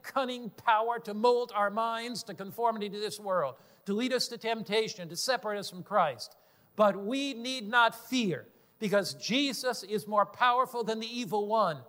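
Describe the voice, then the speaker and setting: A man's voice: high voice, fairly bright tone. A man preaching a sermon in an earnest, emphatic way, building on repeated phrases. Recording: long hall reverb.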